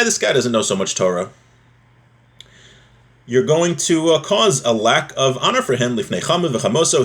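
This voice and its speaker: A man's voice: mid-range voice, a bit bright. Man teaching religious law, informal and explanatory. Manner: explanatory, informal, halting and repetitive, with rhetorical check questions. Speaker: religious teacher giving a class. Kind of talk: spoken lesson, reading Hebrew text and explaining it in English.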